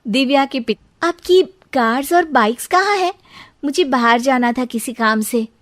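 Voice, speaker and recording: Woman acting a very high-pitched indignant young bride. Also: lively intonation, moderate pace. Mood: puzzled, suspicious. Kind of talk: cartoon dialogue, Hindi moral story.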